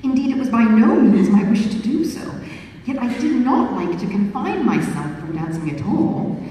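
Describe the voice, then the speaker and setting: A woman reading a period novel aloud at a book launch, in an expressive, measured storytelling manner. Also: on the high side. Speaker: author reading her book. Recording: echoey hall, distant mic, faint room noise.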